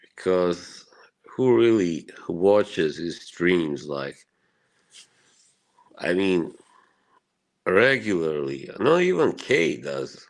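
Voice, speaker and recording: Bosnian-born streamer guy, really deep voice, slow and rambly, cussing a lot to his chat. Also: sing-song, creaky. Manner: rambling and profane, full of "you know" and short laughs.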